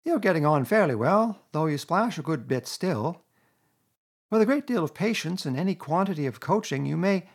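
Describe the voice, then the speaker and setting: A man's voice: a little high, animated, moderate pace, breathy edge, pitched above the performer's own voice. A man voicing a sensible, skeptical male water rat in a storybook reading, dry and firm. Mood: teasing.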